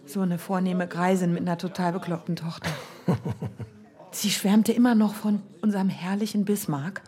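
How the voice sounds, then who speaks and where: An actress playing a resolute, teasing young widow in colloquial radio play dialogue. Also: female voice, lowish voice, steady tone, moderate pace, warm tone, slightly rough. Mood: gossipy, amused.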